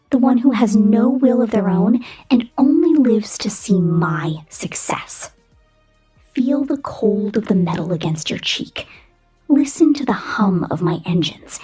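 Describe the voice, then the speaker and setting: Woman acting breathy, cruel robot overseer, swooping pitch. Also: warm tone, rough recording. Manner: condescending, menacing, slow theatrical delivery with frequent whispers. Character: cruel, domineering female killer robot corporate supervisor. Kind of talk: scripted ASMR roleplay.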